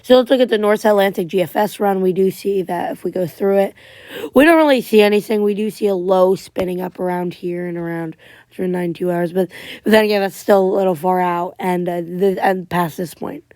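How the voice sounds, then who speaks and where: A woman talks through a tropical weather update in a casual way. Her voice is wearing thin, and she keeps clearing her throat and stumbling into restarts. The sound is dry and close, with no room in it. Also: mid-range voice, a little mellow, dry recording. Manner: halting, many restarts and fillers. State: losing voice, frequent throat clearing. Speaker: amateur weather forecaster.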